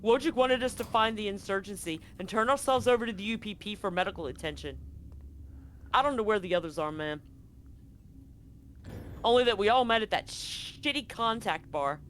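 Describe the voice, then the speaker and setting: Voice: medium pitch, moderate pace, a bit bright, voice pushed up, lightly acted. A desperate woman soldier on the run, acted out by a game master in a tabletop game. She stammers, pleads and swears as she comes apart, over a noisy live-stream recording. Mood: desperate pleading.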